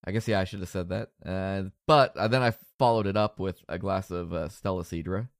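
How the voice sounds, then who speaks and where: A man's voice: low voice, a little fast, no echo, clean studio sound. Stuffy-nosed man hosting a podcast. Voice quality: nasal. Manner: rambling, self-deprecating, joking. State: stuffy with a cold. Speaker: podcast host. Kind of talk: casual podcast chat.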